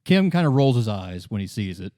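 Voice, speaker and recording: A man acting as game master, narrating and explaining a story casually in halting, improvised phrases. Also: lowish voice, big pitch swings, mellow, no room sound, clean studio sound. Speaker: game master, podcast co-host.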